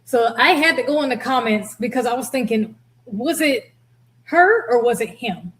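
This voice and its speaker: A woman hosting a casual reaction commentary, reading out comments with a blunt, expressive delivery. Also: a little high, moderate pace. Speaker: host, former air traffic controller.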